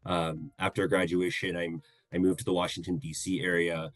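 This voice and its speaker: Deep-voiced earnest man in his thirties. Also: flat delivery, no room sound. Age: 30s. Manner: earnest, measured, hesitant. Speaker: guest co-host. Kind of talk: casual podcast introduction.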